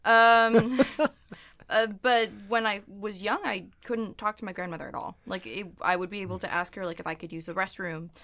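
A woman's voice: mid-range voice, fairly even intonation, moderate pace, a bit bright. A young American woman interviewing a guest, chatting casually and saying "like" often. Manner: friendly, chatty, uses "like" often.

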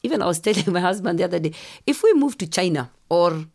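A middle-aged woman from Kenya, telling lively stories as a podcast guest and acting out the voices of people she quotes. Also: lowish voice, fast talker. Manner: animated storyteller, acts out quoted voices. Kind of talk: casual storytelling interview.

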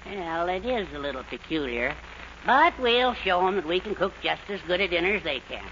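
A muffled, very noisy old radio recording of a henpecked American husband in a sitcom. His high voice frets and blusters, fussy to the core. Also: lively intonation, moderate pace, bright, forceful tone, heavily acted. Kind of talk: 1940s radio sitcom acting.

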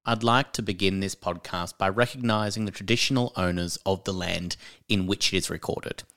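Australian man, lowish pitch, podcast host, casual interview talk. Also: moderate pace, fairly bright tone.

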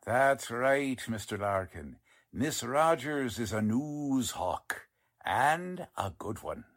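A folksy, boastful radio-play sidekick, acted by a man, slangy and bumbling. He drawls slowly, with pitch that bounces all around for comic effect. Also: sing-song, fairly bright tone. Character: folksy, ungrammatical, boastful sidekick of a lady reporter. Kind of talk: 1940s radio drama acting.

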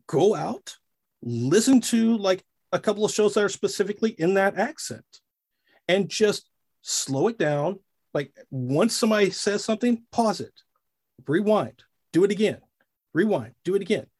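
A middle-aged man chats about his work as a voice actor. His voice is a little husky and sits a touch high, and his pitch jumps around a lot as he talks with animated energy. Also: moderate pace. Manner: animated, demonstrates character voices mid-sentence. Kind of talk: casual interview chat.

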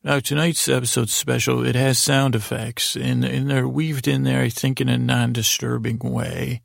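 A man hosting a bedtime story podcast in a lulling, meandering way, halting and stammering as he wanders off on tangents. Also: mid-range voice, flat delivery, gravelly, dry recording, clean studio sound. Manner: lulling, soothing delivery. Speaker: podcast host.

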